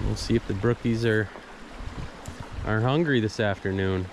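A man's voice: on the low side, moderate pace. A man casually narrating his fly fishing trip outdoors beside a small snowy creek, explaining his gear step by step. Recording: audible stream water noise behind. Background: running creek water.

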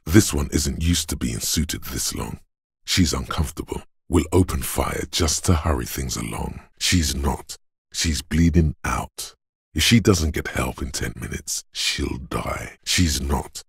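A stern, goading voice of bodily endurance from a video game. It is an exceptionally low bass, rough and gruff. Every phrase is weighty and deliberate, recorded close and dry. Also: male voice, no room sound, full character voice. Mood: grave, measured. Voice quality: gravelly, husky bass. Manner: slow, deliberate, weighty delivery. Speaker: voice actor. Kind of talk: dramatic video game narration.

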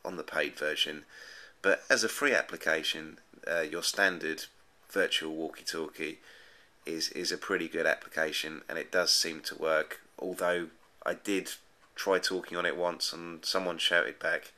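A man's voice: extremely low pitch, fairly even intonation, normal speed, bright, forceful tone. A man giving a casual, unscripted app review in a hesitant, rambling way, often repeating his words. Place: indoors at a desk, off screen.

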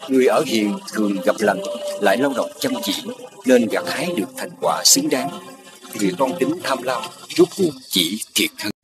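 Male narrator for children's folk tale, fairly low voice, scripted reading with strong music underneath. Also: expressive, moderate pace, low-quality recording.

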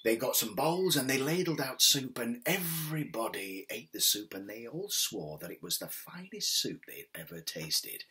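Husky, animated male storyteller. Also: lowish voice. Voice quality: husky, breathy. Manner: expressive, unhurried dramatic telling with pauses. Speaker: drama therapist telling a story. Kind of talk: oral storytelling for families. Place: indoors, small wood-panelled home room. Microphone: webcam at desk distance.

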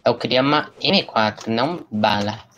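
A young man, chatting casually and excitably while streaming a shooter game, repeating words and joking. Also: mid-range voice, moderate pace, dull audio. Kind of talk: casual gaming chat.

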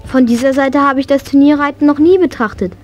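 A woman's voice: sits high, brisk, darkish tone, faint music behind, lightly acted. An actress playing a spirited, horse-loving young girl in a German children's audio drama. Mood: earnest, soft.

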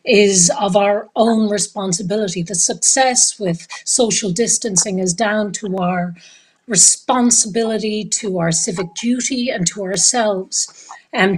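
Woman, thirties, husky, earnest, measured panel talk, video call from home. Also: medium pitch, poor audio. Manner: earnest, measured, with soft breathy drops. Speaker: festival panelist. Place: home living room.

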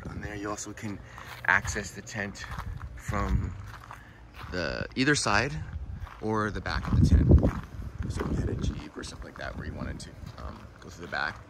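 A man's voice: low-pitched, moderate pace, very noisy. A man casually showing and explaining a rooftop tent while working its parts with his hands, filmed outdoors on a handheld phone. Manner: relaxed, explaining while handling gear. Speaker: product reviewer. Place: outdoors beside a car, then inside a rooftop tent.

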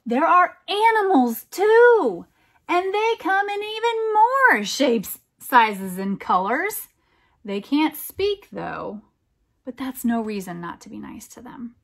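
A middle-aged American woman reads a storybook to small children in a fairly high voice. She takes it very slowly, stressing key words and slipping in conversational asides. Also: gravelly. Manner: animated, emphatic, deliberate phrasing for young children. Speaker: children's storytime reader, teacher. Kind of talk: expressive picture-book read-aloud with chatty asides.

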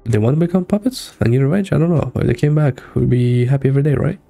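Laid-back man with a gravelly, mellow voice. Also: mid-range voice, racing. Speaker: streamer. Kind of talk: casual game reaction, reading on-screen text aloud. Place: small indoor room. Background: game music.

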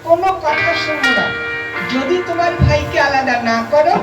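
A woman playing a high-pitched, nagging wife. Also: moderate pace, loud music behind the voice, natural voice. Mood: nagging, demanding. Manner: insistent, nagging. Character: bossy, nagging wife in a puppet comedy. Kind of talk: folk comedy puppet-show dialogue. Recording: roomy echo, distant microphone.

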